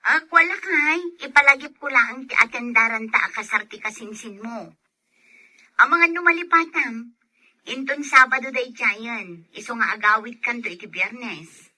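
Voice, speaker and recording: A woman's voice: on the high side, moderate pace, pushed and bright, poor audio. A woman playing a caring, practical wife in an audio drama, speaking with an Ilocano accent. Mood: cheerful. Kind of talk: dramatized radio-style story acting.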